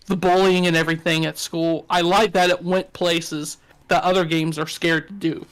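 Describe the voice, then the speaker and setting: A man's voice: a little high, level tone, moderate pace, a bit bright, smooth. Southern-accented man in casual chat. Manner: joking, frank, swears often. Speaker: podcast co-host.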